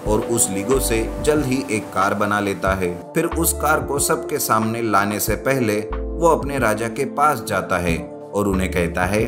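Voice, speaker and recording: A male narrator tells a scripted children's tale in a fairly low voice. Music plays clearly beneath his storytelling. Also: unhurried, few pauses. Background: background music.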